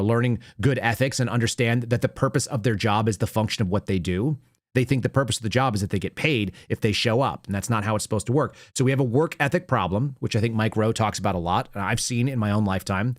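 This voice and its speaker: A man's voice: mid-range voice, fast talker, no room sound, studio quality. An American man giving opinionated, unscripted political commentary as a talk show host, blunt, conversational and emphatic.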